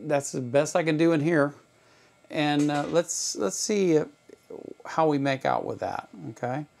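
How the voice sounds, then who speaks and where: A middle-aged man with a gravelly voice, giving a casual, unhurried demonstration in plain words. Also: on the high side, darkish tone. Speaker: electronics channel host. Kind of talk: casual product demonstration, explaining. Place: small home electronics workroom, indoors.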